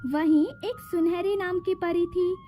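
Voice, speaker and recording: A woman's voice: extremely high pitch, fairly even intonation, unhurried, warm tone, faint music behind. A woman narrating a children's fairy tale from a script.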